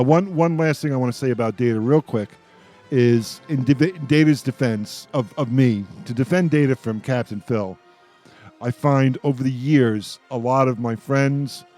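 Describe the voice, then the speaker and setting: A man in a casual roundtable fan discussion, halting and informal, often restarting mid-thought. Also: medium pitch, mellow.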